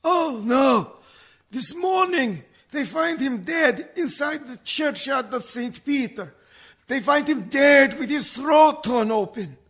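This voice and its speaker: An excitable foreign sea captain from a horror radio play. He speaks broken English in a very high male voice, slowly, with big swings of pitch. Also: exaggerated voice. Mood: horrified.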